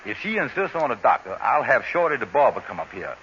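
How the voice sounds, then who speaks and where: An actor playing a scheming, smooth-talking husband in a 1940s radio comedy. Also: male voice, mid-range voice, sing-song, fairly bright tone. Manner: coaxing, fast-talking schemer. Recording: dull old radio broadcast, faint hiss.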